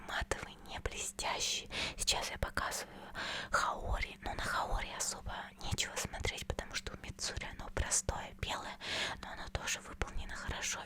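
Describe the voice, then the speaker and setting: A woman speaking in a soft whisper, presenting products in an ASMR style. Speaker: ASMR creator.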